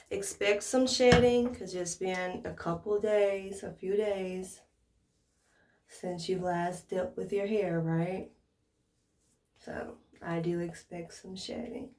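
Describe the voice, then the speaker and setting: A woman's voice: mid-range voice, steady tone, slow pace, darkish tone. An American woman chatting casually to viewers in an informal tutorial, thinking aloud as she demonstrates. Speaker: hair care vlogger. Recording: roomy echo, distant from mic.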